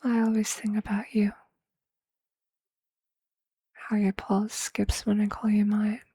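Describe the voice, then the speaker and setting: A performer voicing a possessive, tender vampire lover in an intimate, breathy ASMR roleplay whisper, with a hushed, seductive and affectionate manner. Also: mid-range voice, monotone, no echo. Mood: adoring, seductive. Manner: slow, hushed, seductive, affectionate, formal wording.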